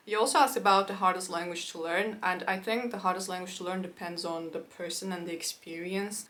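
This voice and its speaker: Chatty young Turkish woman, lowish voice. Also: a bit bright. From Turkey. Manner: chatty, quick asides, frequent "like" fillers. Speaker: YouTuber. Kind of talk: casual talk to camera.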